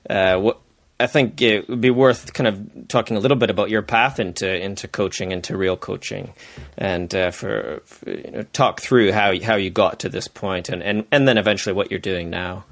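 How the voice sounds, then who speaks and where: Low-voiced male podcast host. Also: steady tone, normal speed, dry recording. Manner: hesitant, filler-heavy. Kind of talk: casual interview.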